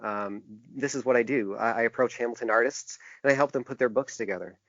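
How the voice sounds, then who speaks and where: A man answering interview questions in a halting, earnest way. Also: mid-range voice, a bit bright, no room sound. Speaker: interview guest; typographer and book designer. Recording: dull, narrow-band lo-fi sound.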